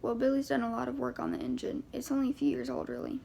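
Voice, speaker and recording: A woman voicing a gruff, bashful middle-aged father in an audiobook reading, mumbling and halting. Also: sits high, level tone, mellow, a little hiss or hum. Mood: sheepish. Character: gruff, sheepish, awkwardly kind middle-aged small-town father.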